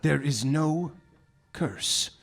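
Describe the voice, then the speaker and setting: An actor playing a loyal, laid-back island sidekick who encourages the detective, in a live comedy radio play. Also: male voice, fairly high pitch, drawn-out words, gravelly, full character voice.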